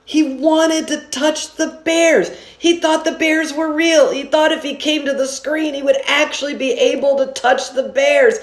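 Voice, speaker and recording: Woman as excitable boy in echoey room. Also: high-pitched, unhurried, few pauses, pitched above the performer's own voice. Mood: exasperated, incredulous. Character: excitable, exasperated fourth-grade boy, older brother.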